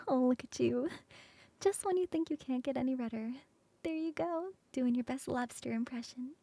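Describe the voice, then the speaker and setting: A woman voicing a flirty female coworker in an ASMR roleplay, close to the mic in a soft, playful whisper. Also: high voice, measured pace, vocal fry, pauses often, dry recording, full character voice. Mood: teasing whisper.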